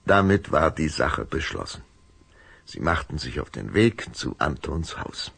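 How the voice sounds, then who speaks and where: Very deep, gravelly male storyteller. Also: sing-song, a little slow, fairly bright tone, no room sound. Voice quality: gravelly, husky. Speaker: narrator. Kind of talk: scripted storytelling for a children's audio drama.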